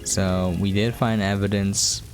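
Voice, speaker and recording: Low-voiced man, casual game commentary. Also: little pitch movement, unhurried, music in the background. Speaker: game reviewer and player, host.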